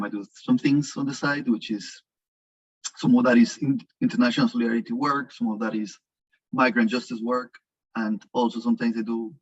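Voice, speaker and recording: A man introducing himself on an online panel in a halting way, searching for words, over a video call with dull lo-fi audio. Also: on the low side, husky. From Colombia. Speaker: nonprofit leadership developer.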